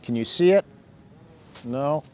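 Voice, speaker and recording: A man's voice: a little high, lively intonation, slow pace. A man hosting a casual hands-on demo outdoors by a fountain, thinking aloud and laughing often as he goes. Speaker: drone channel host. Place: outdoors by a fountain, cold weather.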